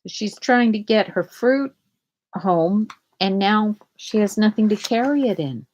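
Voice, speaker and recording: A woman reading a children's picture book aloud in a deliberate way, pausing to explain things to young listeners. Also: mid-range voice, animated, darkish tone, highs cut off, slight background noise. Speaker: storybook reader.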